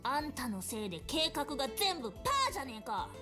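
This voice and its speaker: A woman playing a rude, rebellious young mayor's daughter in an anime visual novel, talking in brash, rough slang. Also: sits high, wildly swooping pitch, racing. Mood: angry. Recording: game voice under loud music.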